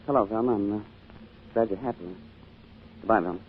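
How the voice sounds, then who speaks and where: An actor playing an earnest, boyish young American soldier in an old-time radio drama, hesitant and given to stammering. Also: male voice, on the low side, fairly quick, lightly acted. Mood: crestfallen. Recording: tinny vintage radio recording with music.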